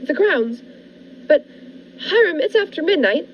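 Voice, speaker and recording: A trapped, fearful wife played by a woman in an old radio thriller, with a very high voice and theatrical, anxious delivery. The audio is dull and lightly noisy. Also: big pitch swings, unhurried, heavily acted. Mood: nervous, evasive. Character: frightened, trapped wife writing a despairing diary. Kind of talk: 1940s radio thriller acting. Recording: dull lo-fi radio-play audio, faint noise.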